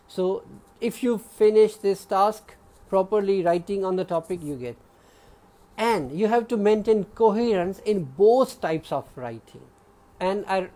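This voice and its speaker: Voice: medium pitch, dark tone. An exam prep teacher with a Pakistani English accent, giving a live online class in an explanatory way and often checking in with the students. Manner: explanatory, checks in with frequent "okay?"; addresses students by name.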